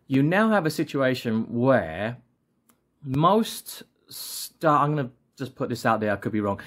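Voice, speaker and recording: Late-forties male vlogger, chatty and jokey, loose rambling delivery with many false starts. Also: mid-range voice. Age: 40s. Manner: rambling, jokey, often restarting sentences. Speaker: action figure seller and channel host. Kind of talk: casual vlog rant.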